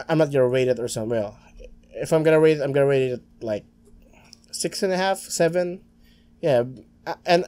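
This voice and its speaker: A young Filipino-accented man talks through his game on a headset mic from a small home room. He rambles loosely, restarting sentences and stumbling over words, sometimes muttering quietly to himself. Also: medium pitch, no room sound. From Philippines. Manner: rambling, many restarts and stammers, mumbles to himself. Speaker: gaming streamer. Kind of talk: casual let's-play commentary.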